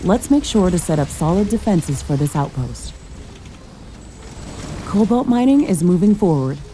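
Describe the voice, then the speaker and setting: Mellow, low-voiced scientist-commando heroine. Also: female voice, sing-song, measured pace, soft background music. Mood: resolute. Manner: earnest, composed, clearly scripted delivery. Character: composed, capable female scientist-commando in a mecha suit. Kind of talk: scripted video game dialogue.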